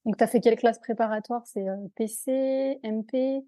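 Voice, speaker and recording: A woman's voice: a little high, fairly even intonation, moderate pace, warm tone, no echo. A woman hosting a podcast interview, encouraging and a little hesitant as she asks her questions. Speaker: podcast host.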